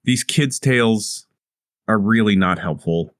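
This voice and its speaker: A man's voice: fairly low pitch, a little slow, dry recording, clean studio sound. A man playing a tabletop role-playing game, talking casually in character.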